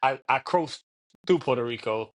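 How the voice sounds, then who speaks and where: A man's voice: medium pitch, normal speed. A man hosting a casual interview, asking questions in a hesitant way with lots of ums. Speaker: interviewer host.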